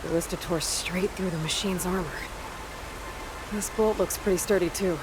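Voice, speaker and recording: A woman's voice: fairly low pitch, fairly even intonation, normal speed, background noise, lightly acted. A woman playing a guarded, practical young outcast adventurer in a video game, understated and matter-of-fact. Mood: focused, curious. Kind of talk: video game cinematic acting. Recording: loud music behind the dialogue.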